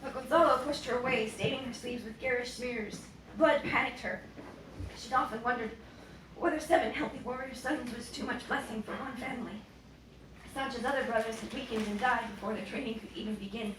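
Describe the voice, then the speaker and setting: A young woman, an author giving an expressive reading of her novel at a bookstore event. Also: fairly high pitch, brisk. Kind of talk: expressive public book reading. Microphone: boom-stand mic at lectern, distant camera. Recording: roomy, distant, noisy bookstore recording.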